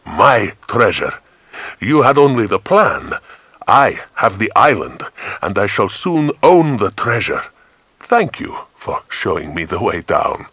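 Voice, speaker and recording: Storybook villain voiced by a male reader, gloating and greedy, deliberate, lowish pitch. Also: sing-song, pushed and bright, husky, dry recording, full character voice. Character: gloating, possessive male villain hunting for treasure.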